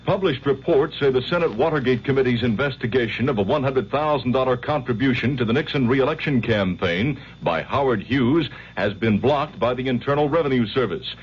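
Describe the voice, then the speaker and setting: A man's voice: medium pitch, animated, a little fast, few pauses, a little hiss or hum. A man with an American accent reading a network news bulletin in 1970s radio style. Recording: dull old radio broadcast, music behind.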